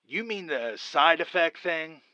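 An actor playing a scheming older male doctor with a loose moral compass, speaking slowly and deliberately in an audio drama. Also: fairly high pitch, lively intonation, bright, forceful tone, no room sound, highs cut off, heavily acted. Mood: evasive.

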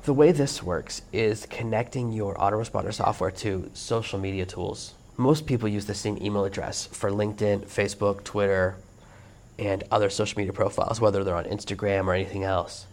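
A man hosting a solo podcast whose voice is hoarse and worn out. He explains things in a steady, earnest way. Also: on the low side, a little fast. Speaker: podcast host. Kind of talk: solo instructional monologue.

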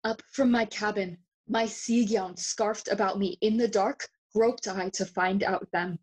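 A woman playing a passionate, grieving young prince in a bilingual Spanish and English Shakespeare audio drama, husky and theatrical. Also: medium pitch, steady tone, a little slow, low-quality recording. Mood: intense, wry recounting. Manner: theatrical, declamatory, slips between Spanish and English.

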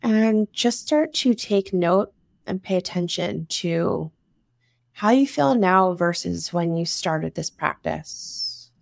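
Female meditation guide, very slow delivery, some vocal fry, soothing and instructive, close dry sound. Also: fairly low pitch, lively intonation, no echo. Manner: soothing, instructive, with long pauses between short phrases. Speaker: meditation guide, breathwork teacher.

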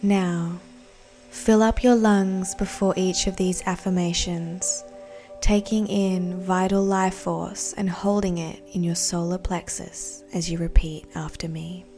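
A woman guiding a meditation in a soothing, deliberate, breathy voice that often nears a whisper. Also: on the low side, fairly even intonation. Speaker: meditation guide. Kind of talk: guided meditation narration. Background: soft background music.

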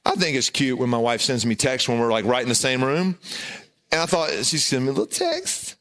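A middle-aged man with a loud voice, preaching a sermon and telling a self-deprecating, humorous personal story. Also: medium pitch, very animated, fairly quick. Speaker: pastor.

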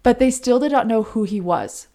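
A woman's voice: a little high, normal speed, warm tone. Conversational woman telling true crime stories. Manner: informal, chatty asides amid storytelling. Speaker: podcast co-host.

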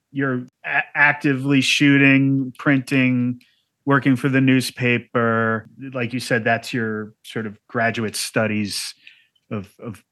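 A man's voice: mid-range voice, sharp tone, dry recording. A man interviewing a photographer. He asks guiding questions and gives brief, attentive affirmations.

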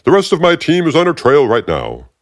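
A man voicing a smug, bombastic federal agent in an aggravating, high, drawn-out voice. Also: wildly swooping pitch, dry recording, studio quality.

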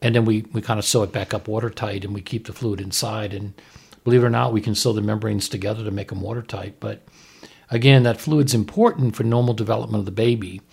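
Low, gravelly man explaining medicine. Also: steady tone, quick pace, a little mellow. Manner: conversational, explanatory, checks with "right?". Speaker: host, fetal surgeon reviewing a TV episode. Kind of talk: casual podcast discussion with medical explanation.

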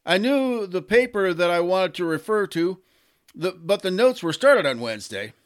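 A man hosting a local podcast, reading scripted notes aloud in a plain, deliberate way. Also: sits high, very animated. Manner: plain, deliberate read-aloud delivery with little ad-libbing. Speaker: local community podcast host.

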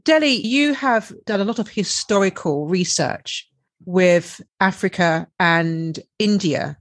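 A woman's voice: mid-range voice, slow pace, dry recording, studio quality. A woman hosting a podcast, reading a formal introduction and politely asking her guests questions. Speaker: podcast host. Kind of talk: scripted introduction and interview questions.

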